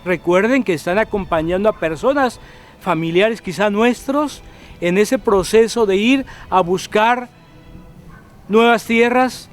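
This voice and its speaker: High-voiced man presenting a religious program. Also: unhurried, faint music behind. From Guatemala. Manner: calm, exhortative, addresses viewers. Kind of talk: pastoral presenter commentary.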